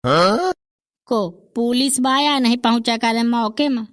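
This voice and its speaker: A self-important village quack doctor, voiced by a woman, speaking slowly in a Bundelkhandi accent. The voice is smooth and clear and sits fairly high. It is recorded close and dry. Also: no room sound. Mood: boastful. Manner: self-important, drawn-out boasting.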